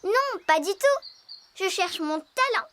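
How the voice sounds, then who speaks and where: A performer voicing an excitable, dreamy young girl elephant in a French children's cartoon, exclaiming theatrically. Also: very high-pitched, slow, noisy background, full character voice. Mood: earnest, dreamy.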